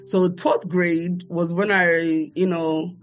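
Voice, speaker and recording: Woman, raised in the US, interview guest, lively storyteller, quick bursts, laughs often, lowish voice, faint music behind. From United States. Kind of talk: animated storytelling in conversation.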